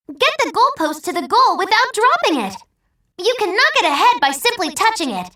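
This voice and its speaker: Very high squeaky little robot helper. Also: female voice, pushed and bright, reverberant, distant mic, heavily acted. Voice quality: childlike, squeaky. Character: small cheerful robot helper creature, eager childlike guide. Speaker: voice actor. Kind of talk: scripted game tutorial hints.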